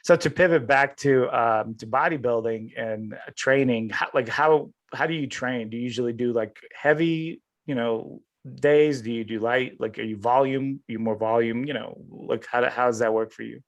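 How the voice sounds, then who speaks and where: Halting mid-pitched male podcast host. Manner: halting, rephrases questions as he goes. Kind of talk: casual interview chat.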